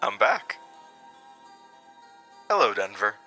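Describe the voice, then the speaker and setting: A man's voice: fairly low pitch, big pitch swings, takes it slowly, sharp tone, faint music behind, full character voice. A man voicing a supernatural man in a hat in a horror audio drama, gloating, mocking and playfully showy.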